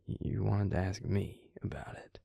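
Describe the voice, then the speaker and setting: A man playing a gentle, protective vampire with a Southern US accent in an intimate audio roleplay, speaking in a breathy, gravelly, soothing half-whisper. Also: low-pitched, little pitch movement, measured pace, no room sound, exaggerated voice. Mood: wry, curious. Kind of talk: intimate scripted audio roleplay, addressing the listener.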